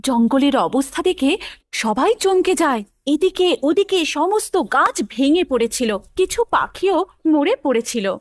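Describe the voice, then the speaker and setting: High, animated woman narrator. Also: unhurried, no echo, clean studio sound. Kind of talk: scripted children's story narration.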